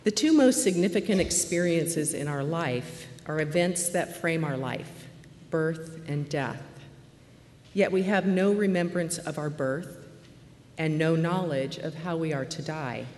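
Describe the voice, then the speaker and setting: A woman in her forties speaks softly and earnestly as a deaconess addressing a conference. Her low voice rings through a large, echoey hall, with a faint murmur of room ambience behind her. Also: a little slow, a little hiss or hum. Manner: soft-spoken, earnest, with some fillers. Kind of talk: conference talk.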